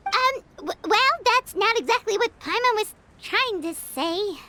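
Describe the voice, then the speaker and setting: A woman's voice: lively intonation, measured pace, sharp tone, heavily acted. A woman playing a tiny, childlike, perky fairy companion in a video game, blunt and curious. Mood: puzzled. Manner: squeaky, perky, speaks in third person. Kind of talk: video game cutscene acting. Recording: music bed behind voice.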